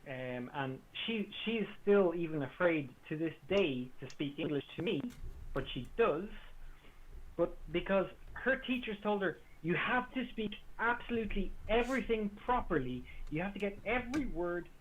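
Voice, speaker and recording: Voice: medium pitch, lively intonation, moderate pace. A guest with a Dublin accent, chatting emphatically over a voice call, often stumbling over the start of a sentence. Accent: Dublin Irish. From Dublin, Ireland. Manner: emphatic, repeats words while starting sentences. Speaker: guest on a stream. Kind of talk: casual chat on a call. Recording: tinny call audio with faint hiss.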